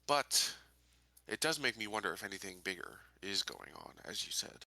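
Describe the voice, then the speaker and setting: A man playing an earnest, measured investigator in a tabletop sci-fi game, with hesitant, thoughtful, formal phrasing. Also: on the low side, very animated, vocal fry, bright, forceful tone, no echo, heavily acted. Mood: thoughtful, probing. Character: earnest, measured male investigator in a space colony. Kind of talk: in-character tabletop roleplay dialogue.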